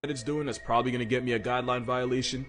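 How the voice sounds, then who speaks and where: A man's voice: medium pitch, fairly even intonation, racing, fairly bright tone, a little hiss or hum. A man delivering scripted comedic narration for an animal facts video, with a wry, slangy and irreverent manner. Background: music.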